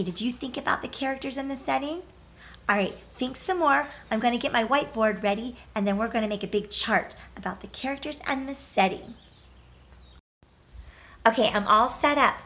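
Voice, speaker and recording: A woman's voice: high-pitched, a little fast, a bit bright. A woman teacher leading a read-aloud lesson for young children in simple, encouraging language, asking questions and answering them herself.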